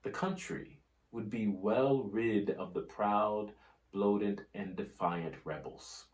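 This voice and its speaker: Man, slightly deep voice, very unhurried, solemn oratorical book reading, deliberate pauses, echoey room. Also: a little mellow. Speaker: reader of a history book. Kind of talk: scripted dramatic reading of history and quoted oratory. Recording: roomy, echoing room sound.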